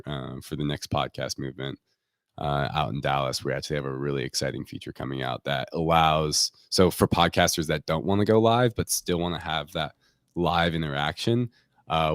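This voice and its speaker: Low-voiced man, casual product talk. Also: fairly bright tone, no room sound, clean studio sound. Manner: informal, hedging with frequent "like" and "kind of". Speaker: tech company representative, podcast guest. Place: remote recording on a live stream call.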